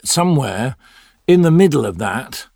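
A man's voice: mid-range voice, very animated, unhurried, gravelly, a little mellow, dry recording, clean studio sound. A middle-aged man, an actor, talking reflectively in an interview. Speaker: audio drama actor.

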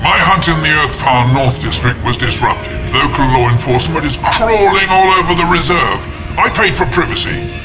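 A man's voice: fairly high pitch, normal speed, music in the background, natural voice, poor audio. A man playing an irritated, entitled wealthy client in an audio drama, indignant and demanding.

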